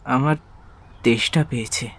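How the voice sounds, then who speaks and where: A man's voice: medium pitch, unhurried, fairly bright tone, breathy edge. A man acting a bitter, demanding, suspicious sick husband in a radio drama, querulous and accusing.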